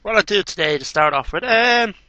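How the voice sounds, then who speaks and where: Man, thirties, very bright sharp voice, animated pitch, amateur poetry reading, headset mic. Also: brisk, dry recording, muffled recording. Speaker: amateur poetry reader and video maker. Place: small cluttered bedroom. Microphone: headset microphone.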